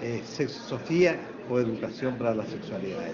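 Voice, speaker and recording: Behind a thick wall of crowd noise, an older man speaks in a medium-pitched voice with a northeastern Argentine accent. He explains things earnestly as an interviewee, pausing on filler words. The sound is muffled and lo-fi. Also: animated. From Puerto Iguazú, Misiones, Argentina. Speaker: retired biology professor being interviewed. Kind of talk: spoken interview answers. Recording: dull lo-fi, loud crowd chatter.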